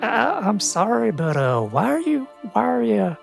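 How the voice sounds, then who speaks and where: A flustered uncle as a player acts him out speaks hesitantly and politely, stammering with worry. The pitch moves around a lot, and soft music plays behind this tabletop game figure. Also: big pitch swings, measured pace, creaky, heavily acted. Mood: worried. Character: worried, flustered, apologetic uncle travelling with young companions.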